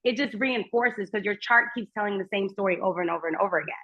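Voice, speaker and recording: A woman's voice: medium pitch, quick pace. Earnest woman with German as her first language. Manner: earnest, personal, talks in long flowing runs. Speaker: guest astrologer. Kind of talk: casual conversation. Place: remote, while traveling. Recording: muffled lo-fi remote audio.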